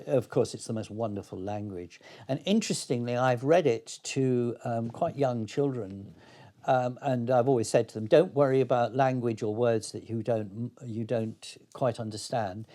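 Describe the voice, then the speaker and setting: A man's voice: mid-range voice, mellow. An older man speaking reflectively as an interview guest, hesitant and thoughtful but enthusiastic. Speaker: interview guest, playwright and actor.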